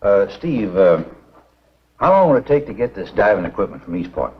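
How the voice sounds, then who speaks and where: Casting: insistent older doctor, lively low voice, brisk delivery, vintage monster movie. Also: male voice, slightly rough. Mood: evasive. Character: shrewd, insistent older doctor, a grown woman's father. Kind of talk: 1950s B-movie acting. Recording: dull 1950s film soundtrack.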